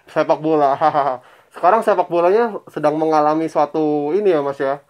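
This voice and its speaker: A man's voice: on the high side, moderate pace, darkish tone. A young man asking questions in a polite, probing way, posing as a phone interviewer for a prank.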